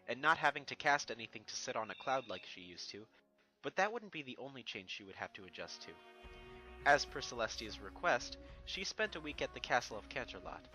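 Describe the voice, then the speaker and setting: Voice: mid-range voice, pushed and bright, rough recording. A narrator reading a fan fiction story expressively from a script, with music behind. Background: background music.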